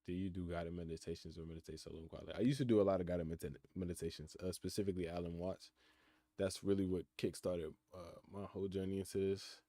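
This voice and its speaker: A man's voice: low-pitched, little pitch movement, fast, dark tone, no room sound. A nineteen-year-old man chatting casually on a live stream, reading out questions from chat and answering them in a laid-back, reflective way. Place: his room in college housing. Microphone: computer mic.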